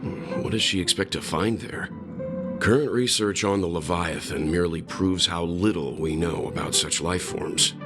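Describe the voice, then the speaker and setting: A man voicing a composed, scholarly gentleman in a video game, deliberate and pensive, with quiet authority. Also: low voice. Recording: music behind the voice.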